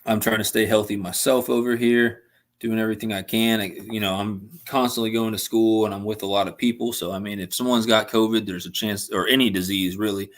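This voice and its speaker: A young man hosting a casual fishing livestream, chatting and answering viewers' messages, his voice a little worn from talking. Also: on the low side. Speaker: livestream host.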